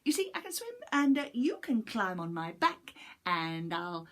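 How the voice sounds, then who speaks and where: A woman voicing a sly, smooth-talking male fox in a children's story, speaking softly and persuasively. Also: medium pitch, sing-song, a little slow. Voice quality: soft, coaxing, sweetly persuasive.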